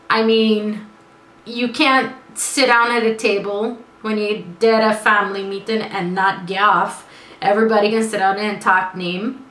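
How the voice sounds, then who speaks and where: A woman's voice: medium pitch, recorded from a distance. Chatty young woman in an echoey room. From Suriname. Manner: lively, chatty, laughs often. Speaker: vlogger. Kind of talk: casual chat to camera.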